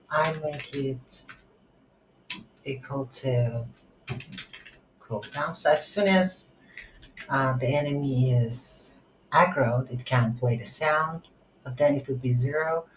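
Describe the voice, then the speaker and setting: A woman with a low voice talks slowly through a coding tutorial. She thinks aloud in halting pieces as she works. The room adds noticeable echo to her words. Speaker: tutorial instructor. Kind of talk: unscripted software tutorial narrated while coding. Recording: roomy echo, faint background noise.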